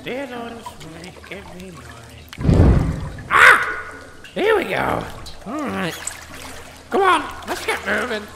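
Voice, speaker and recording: An actor voicing an excitable, crude gnome for a comedy fantasy cartoon, exclamatory and stammering. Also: mid-range voice, slow pace, gravelly, pauses often, full character voice. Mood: eager. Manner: exclamatory, stammering, swooping delivery. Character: excitable foul-mouthed gnome potion-thrower, gleefully crude. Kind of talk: comedic animated fantasy voice acting. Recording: echoey sewer-like reverb with noise.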